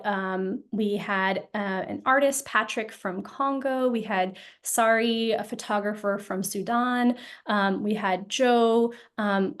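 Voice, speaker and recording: Woman guest, mid-pitched voice, thoughtful and hesitant, lots of ums and restarts. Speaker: guest, founder of an occupational therapy group. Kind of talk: casual interview talk.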